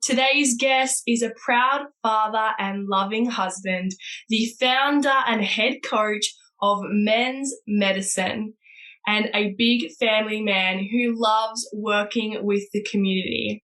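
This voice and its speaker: A woman hosts a podcast with a bubbly, enthusiastic manner. She fills her speech with "like" and "so" as she gushes about her guest. Her voice sits in an ordinary middle pitch. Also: slow, fairly bright tone. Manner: bubbly, enthusiastic, heavy use of "like" and "so". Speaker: podcast host. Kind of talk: upbeat podcast interviewing.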